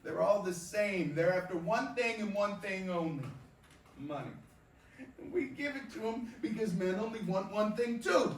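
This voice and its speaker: A man's voice: high voice, expressive, moderate pace. A man on stage playing a cocky, crude veteran baseball star who brashly and slyly schools a rookie in slangy tough-guy talk. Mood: brash, mocking. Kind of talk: staged reading of a play, theatrical dialogue. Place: indoor performance space, recorded from afar. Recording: roomy distant stage recording, background noise.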